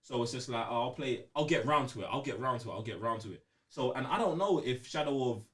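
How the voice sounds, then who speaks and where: A man's voice: mid-range voice, expressive, fast talker, a bit bright, far from the mic. A man chatting casually on a gaming podcast, using plenty of slang and repeating himself. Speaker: podcast co-host.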